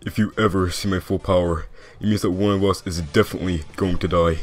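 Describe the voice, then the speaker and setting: A man voicing a laid-back, sardonic skeleton with a casual deadpan manner and a veiled threat, for a cartoon comic dub. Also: low voice, quick pace. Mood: grimly calm.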